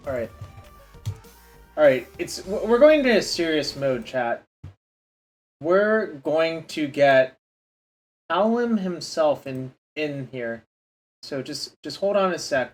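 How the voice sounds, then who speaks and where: A man's voice: fairly high pitch, big pitch swings, measured pace, long pauses. Guy streaming a card game, mutters and grumbles to chat, faint music behind. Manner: frustrated, muttering to himself and chat. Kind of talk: casual game stream commentary.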